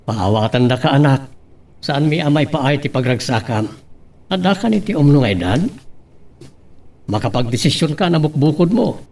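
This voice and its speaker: A man's voice: on the high side, animated, normal speed, gravelly, pauses often, a little mellow. A man playing a middle-aged, plain-spoken father in a radio-style drama, with an Ilocano accent. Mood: friendly, approving.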